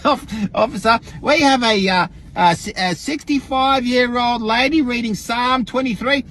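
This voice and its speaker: An Australian man voicing an earnest, anxious police officer making halting, formal reports to a commander in a satirical skit. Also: extremely high pitch, fairly bright tone, pitched above the performer's own voice, full character voice. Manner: halting, hesitant, formal radio-report phrasing.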